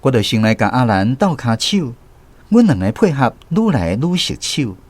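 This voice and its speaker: A man's voice: medium pitch, big pitch swings, normal speed, a little mellow, no echo. A man playing a grown storyteller reflecting on his boyhood, narrating a radio drama with a Taiwanese accent. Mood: reflective.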